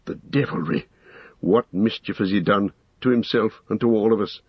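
A man voicing a grave, commanding old male wizard in a storybook reading, speaking sternly and deliberately. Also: fairly low pitch, breathy edge, dry recording, highs cut off. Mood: alarmed.

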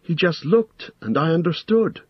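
A man voicing a frightened, pleading young male hobbit in a storybook reading, with a shrill, stammering voice. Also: lively intonation, moderate pace, husky, no room sound, lo-fi sound. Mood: hesitant, frightened. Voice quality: shrill, strained. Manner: stammering, hesitant.

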